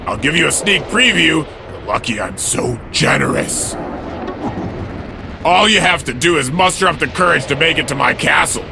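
An actor playing a huge, boastful turtle-monster villain in a video game, gravelly, grandiose and gloating. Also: male voice, a little high, lively intonation, moderate pace, noisy background. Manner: gloating, grandiose, mock-generous showmanship. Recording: loud game music under the voice.

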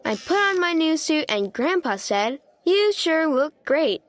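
A woman narrating a children's storybook in an animated, childlike way, as an eager young critter telling the story. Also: high-pitched, measured pace. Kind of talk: children's read-aloud narration.

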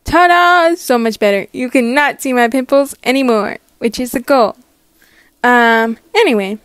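High, clear-voiced young woman. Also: unhurried, dry recording, soft background music. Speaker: story channel creator voicing a character. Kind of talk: voiced roleplay vlog, casual chat.

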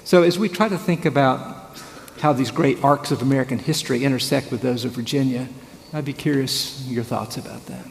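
A man's voice: mid-range voice, fairly quick. A middle-aged man moderating a panel discussion on a theater stage, thoughtful and questioning, sometimes trailing off. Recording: gravelly voice in echoey hall, faint hum.

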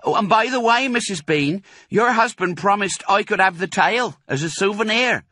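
A man voicing a big, slow-witted young farm woman working as a servant. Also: high voice, expressive, pushed and bright, pitched above the performer's own voice, heavily acted. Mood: plodding.

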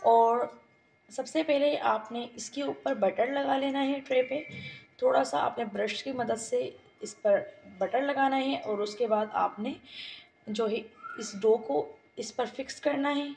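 A woman, hosting a home cooking tutorial and calmly giving the viewer step-by-step instructions. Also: fairly high pitch, measured pace, vocal fry, a little hiss or hum, low-quality recording. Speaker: cooking channel host. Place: home kitchen.

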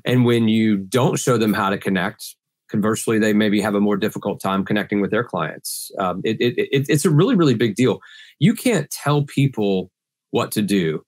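A man's voice: lowish voice, animated, normal speed, darkish tone, dry recording, clean studio sound. A middle-aged man with a slightly scratchy voice, answering earnestly in a video-call podcast interview with many restarts. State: scratchy voice from pollen. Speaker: guest sales trainer.

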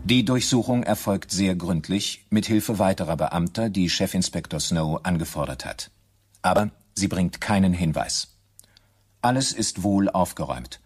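A crime-story narrator with a deep, husky voice. He tells the radio play in a measured, dry way, with understated irony. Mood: measured, matter-of-fact. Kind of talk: scripted radio drama narration.

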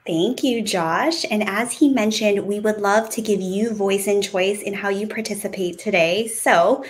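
A woman presenting an online teacher workshop on a video call, upbeat and welcoming, explaining things in clear steps. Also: medium pitch, unhurried. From United States. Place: home room on a video call.

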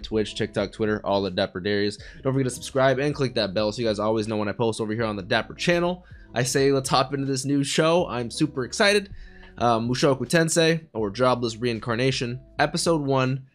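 Brief: young American-born male streamer, hoarse from illness, chatty and giddy, close boom mic in a small bedroom studio. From United States. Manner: excitable, chatty, laughing often. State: raspy, sick voice. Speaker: anime reaction streamer. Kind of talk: casual anime reaction commentary. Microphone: studio mic on boom arm, headphones.

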